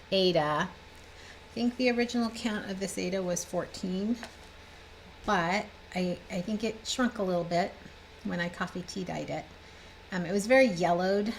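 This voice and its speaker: A woman's voice: fairly low pitch, a little hiss or hum, rough recording. A woman in her fifties, a little stuffed up, chatting cheerfully and rambling as she shows her cross-stitch projects at home. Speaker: cross-stitch designer and vlogger. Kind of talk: casual show-and-tell chat. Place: home room with bookshelves, indoors.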